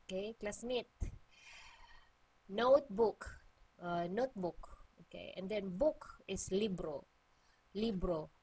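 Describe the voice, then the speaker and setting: Slow-paced Cebuano-accented woman teacher. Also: mid-range voice, warm tone, husky, no room sound, low-quality recording. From Philippines. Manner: patient, repeats each word slowly. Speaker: language teacher. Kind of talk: online language lesson.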